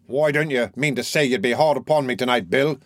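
A man voicing a weary, long-suffering young woman in a storybook, gentle and pleading. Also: medium pitch, fairly quick, no room sound, studio quality, close to an everyday voice. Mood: anxious, coaxing.

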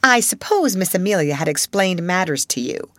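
A woman's voice: fairly low pitch, very animated, moderate pace, a bit bright, no echo. A woman voicing a stern, domineering middle-aged headmistress who scolds coldly and harshly. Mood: scolding.

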